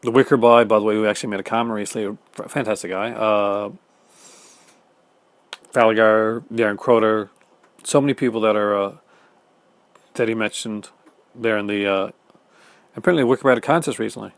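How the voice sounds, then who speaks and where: A Canadian man talking fast and casually to the camera at home, with many fillers and restarts. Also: fairly low pitch, steady tone, dry recording. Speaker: film collector YouTuber. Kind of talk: casual chat reading viewer comments. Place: home room in front of film shelves.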